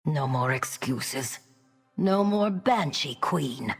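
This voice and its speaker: An actress playing a weary but defiant undead woman leader in a video game cutscene, deliberate and dramatic. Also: extremely low pitch, lively intonation, creaky, breathy edge, exaggerated voice. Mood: firm, resolute. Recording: loud music behind the voice. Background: loud music.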